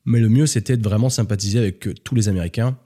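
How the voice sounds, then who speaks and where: Mellow-voiced French man telling stories. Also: fairly low pitch, racing. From France. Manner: relaxed storytelling, animated when joking. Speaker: podcast guest. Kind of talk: casual chat.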